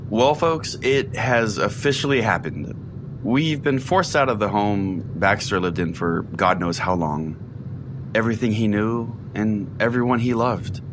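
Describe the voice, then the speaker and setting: A man's voice: fairly low pitch, expressive, measured pace, background noise. A man playing a self-important, sardonic conspiracy-preaching pastor who rambles chattily as host of an audio-drama show. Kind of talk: scripted audio-drama monologue as a talk show.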